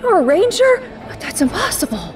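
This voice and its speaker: A high-voiced, intense warrior woman in a superhero audio drama. Her delivery swings widely, defiant and dramatic, over loud music. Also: wildly swooping pitch, normal speed, full character voice. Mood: stunned. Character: dark, punk older Pink Ranger warrior woman under evil control.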